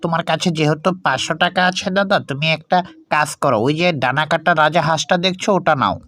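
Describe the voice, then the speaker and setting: A man's voice: a little high, fast talker, bright, forceful tone. A man voicing a pushy village poultry seller in a children's cartoon, with an insistent haggling manner.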